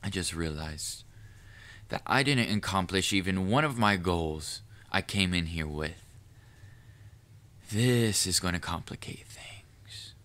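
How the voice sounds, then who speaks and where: A man playing a proud, cunning, lovestruck assassin in an audio roleplay, speaking formally and deliberately to the listener with quiet menace. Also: low-pitched, animated, full character voice. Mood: rueful, intrigued. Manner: formal wording, deliberate, measured. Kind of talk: scripted audio roleplay monologue addressed to the listener.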